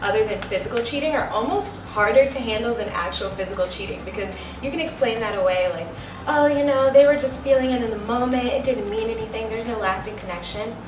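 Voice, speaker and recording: A woman's voice: on the high side, fast talker. A woman in her twenties talking frankly and casually on a studio sofa, filler words throughout. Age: 20s. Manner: chatty, frank, many likes and you knows. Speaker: panel guest. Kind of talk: candid casual chat. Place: studio set with sofa, indoors. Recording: roomy, distant, music bed.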